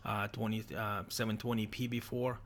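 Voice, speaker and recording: A man from Ukraine hosting a casual livestream interview, talking haltingly with many restarts over a computer microphone. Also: lowish voice, flat delivery, a bit bright. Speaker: livestream host.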